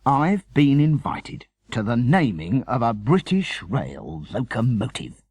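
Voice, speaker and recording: A man voicing an excitable, self-absorbed storybook father, jubilant and breathy, drawing out his words. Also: mid-range voice, slow, no room sound, heavily acted. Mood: triumphant.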